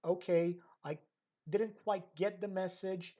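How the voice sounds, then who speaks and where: A woman's voice: fairly low pitch, fairly even intonation, slow, dark tone, lo-fi sound. A woman teacher trainer giving an unscripted explanatory lecture, stressing and repeating key points and often checking in with listeners. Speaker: teacher trainer hosting an educational episode.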